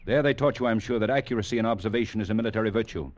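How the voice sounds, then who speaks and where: An American actor playing a stern, exacting older cavalry captain in a radio drama, speaking in a measured, formal, lecturing way. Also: male voice, lowish voice, close to an everyday voice. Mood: dry, probing. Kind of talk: 1940s-50s radio acting. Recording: dull, hissy old radio recording.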